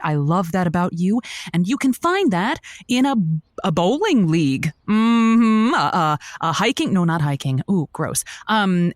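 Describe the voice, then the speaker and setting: A woman's voice: medium pitch, dry recording, studio quality, lightly acted. An actress playing a chatty, earnest woman radio host who stammers emphatically while giving advice in a comedic audio drama. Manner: stammering, searching for words, emphatic. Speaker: call-in radio show host.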